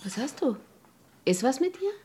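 A young girlfriend in a radio drama, anxious and secretive. Her voice is on the high side. She speaks softly and hesitantly. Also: big pitch swings, moderate pace, slight background noise. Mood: eager, concerned. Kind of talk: German radio drama acting.

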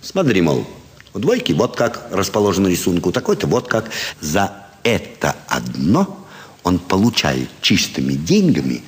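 An actor playing a sly, self-assured card sharper in a classic stage comedy, savouring his story with dramatic pauses. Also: low-pitched, huge pitch swings. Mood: confiding, smug. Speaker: actor in an audio play. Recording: stage hall with long reverb.

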